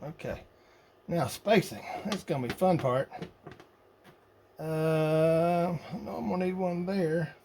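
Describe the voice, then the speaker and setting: A man's voice: on the high side, slow, long pauses, a little mellow. A man casually narrating a hands-on build, muttering and thinking aloud as he works. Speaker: hobbyist radio builder. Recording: noisy workshop recording with clicks.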